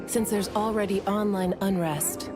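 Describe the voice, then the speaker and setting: A woman's voice: mid-range voice, fairly even intonation, a little slow, lightly acted. An actress playing a composed, courteous woman officer in an anime game, speaking in a formal, businesslike way. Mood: polite, businesslike. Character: polite, composed young woman bureau officer giving briefings. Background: background music.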